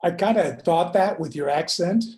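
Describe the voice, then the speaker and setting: A man from California chatting casually at a meeting, telling a story with laughs and halting fillers. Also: high-pitched, darkish tone. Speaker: meeting participant. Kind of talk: casual chat.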